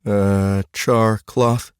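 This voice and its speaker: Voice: mid-range voice, little pitch movement, extremely slow, smooth, no room sound, clean studio sound. Casting: everyday married farmer man performed by a narrator, unsure, jokey, medium register, fantasy audiobook. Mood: hesitant. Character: heavyset ex-farmer husband, hesitant, jokey.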